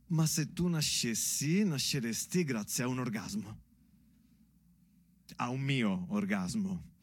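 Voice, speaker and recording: A man performing a theatrical monologue like a spoken letter, deliberate and measured, pausing between short phrases. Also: medium pitch. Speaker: stage actor performing his own monologue.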